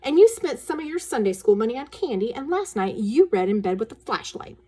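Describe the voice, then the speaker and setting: A woman's voice: a little high, big pitch swings, brisk, dark tone, slightly rough. A woman voicing a smug, whiny nine-year-old tattletale girl in a children's storybook. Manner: whiny, self-righteous.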